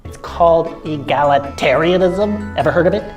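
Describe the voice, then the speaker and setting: A woman's voice: low voice, normal speed, darkish tone, far from the mic, faint music behind, natural voice. A woman voicing a smug, stubborn neckbeard anti-feminist puppet in a scripted comedy show, with a pedantic, mocking manner.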